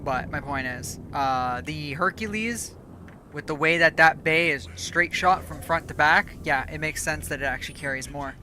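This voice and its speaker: A woman's voice: low-pitched, normal speed, noisy background. A woman streaming a game, chatting casually and rambling, correcting herself as she goes, her voice starting to go. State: losing her voice. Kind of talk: casual live gameplay chat.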